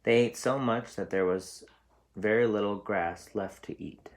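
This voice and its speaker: A man's voice: fairly low pitch, measured pace, slight background noise. A man reading a storybook aloud as a teacher, explaining things simply for young children.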